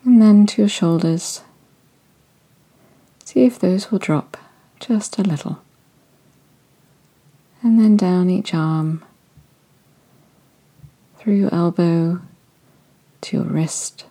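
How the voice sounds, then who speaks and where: A woman guiding a body-scan meditation in a soft, soothing voice that often drifts into whispers. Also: lowish voice, slow, pauses often, slightly rough, low-quality recording. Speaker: meditation guide.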